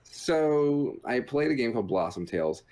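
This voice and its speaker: A man's voice: mid-range voice, very animated, normal speed, a little mellow. A man co-hosting a gaming podcast in casual chat, with a jokey, rambling way of talking. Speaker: podcast co-host reviewing video games.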